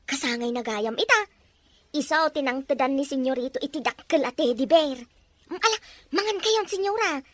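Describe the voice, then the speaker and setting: A woman playing an excitable, sweet young woman who gushes and exclaims in a melodramatic audio drama. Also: high-pitched, dry recording. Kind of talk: melodramatic radio-style drama acting.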